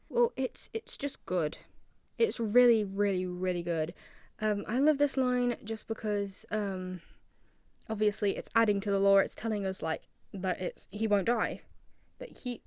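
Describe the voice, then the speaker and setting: A woman's voice: medium pitch, darkish tone, dry recording, studio quality. A young woman giving casual, rambling commentary as a video creator, with a self-deprecating manner.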